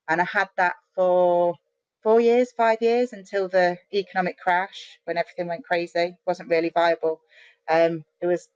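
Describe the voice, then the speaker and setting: A middle-aged woman from the UK chatting as an interview guest, animated and full of quick restarts and fillers. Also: on the low side, no echo, dull audio. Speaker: guest, online marketer. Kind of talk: casual interview chat. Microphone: video call.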